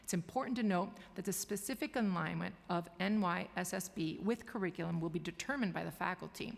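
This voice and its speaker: Woman admin reading a statement, gravelly low voice, long echo in the chamber. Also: a little fast. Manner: formal, read from a statement. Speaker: university administrator testifying. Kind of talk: prepared testimony. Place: council chamber. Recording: long reverb of a large hall.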